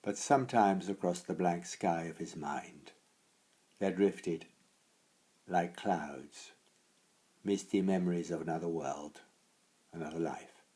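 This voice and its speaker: A man reading a dark storybook tale in a theatrical manner, moving between hushed and swelling phrases. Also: sits low, slow pace, long pauses. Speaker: author reading his own story. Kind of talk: dramatic storybook narration.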